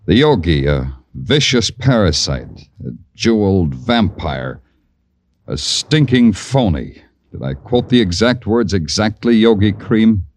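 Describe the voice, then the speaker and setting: Sardonic detective with animated low delivery. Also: male voice, unhurried, slight background noise. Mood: hard, accusing. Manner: sardonic, terse, florid noir narration. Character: wisecracking, hard-boiled New York police detective, sardonic. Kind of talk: 1940s-50s radio detective drama acting.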